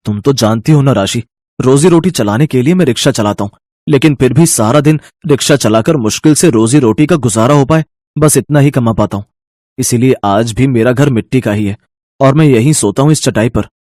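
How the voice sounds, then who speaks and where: A humble, affectionate young husband from a moral tale, played by a man. He talks hesitantly at a middle pitch, in a dry recording. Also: fast talker, dry recording, lightly acted. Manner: hesitant, soft-spoken.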